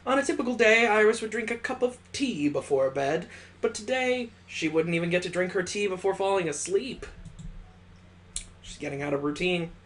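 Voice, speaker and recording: Male let's play host, higher register, expressive story reading broken by sarcastic asides. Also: normal speed, a little hiss or hum. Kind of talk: visual novel read-aloud with joking asides.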